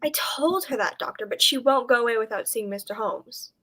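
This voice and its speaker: Lady playing a bossy sour innkeeper woman in a radio play, brisk, voice on the high side. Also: fairly bright tone. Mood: businesslike. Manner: brisk, scolding, disapproving. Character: sharp-tongued, sour village innkeeper woman. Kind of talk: radio drama acting.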